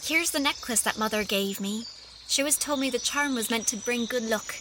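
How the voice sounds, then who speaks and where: A woman's voice: fairly high pitch, brisk, lightly acted. A woman playing a polite, apologetic and earnest young village girl in a fantasy audio drama. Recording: clear background noise, cricket ambience.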